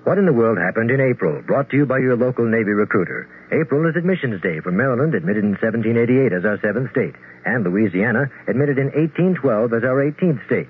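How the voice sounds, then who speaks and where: A man's voice: mid-range voice, expressive, quick pace, hardly any pauses, slightly rough. Male announcer on thin old radio. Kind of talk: scripted 1970s radio public-service read. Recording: phone-like old radio, faint noise.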